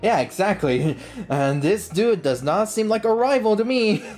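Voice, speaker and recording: Male let's-player, chatty and excitable, swooping pitch, slowish delivery, talking over game music. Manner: excitable, reactive, repeats himself. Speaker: gaming YouTuber. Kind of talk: casual game playthrough commentary.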